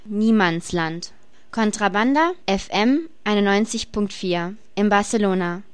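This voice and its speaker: A woman's voice: mid-range voice, measured pace. A woman giving a scripted radio station ident as its announcer. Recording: loud music under the voice.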